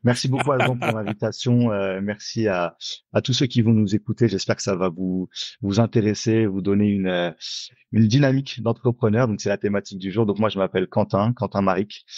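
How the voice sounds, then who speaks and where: Man, twenties, low voice, casual interview guest, dry slightly dull audio. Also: steady tone, fairly quick, a little mellow, no echo. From Paris, France. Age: 20s. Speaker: podcast guest, entrepreneur. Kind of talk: casual interview self-introduction.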